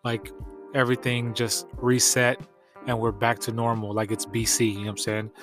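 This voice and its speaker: A man's voice: mid-range voice, flat delivery, moderate pace, over music. A congested man hosting a podcast in casual, slangy, conversational talk. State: congested from allergies. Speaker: podcast host.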